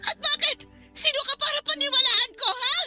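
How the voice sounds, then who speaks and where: A woman's voice: extremely high pitch, fairly even intonation, brisk, bright, forceful tone, soft background music, exaggerated voice. A woman playing a spiteful middle-aged aunt in a Tagalog radio drama, scolding and blaming with bitter scorn. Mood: scornful. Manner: accusing, scolding, emphatic.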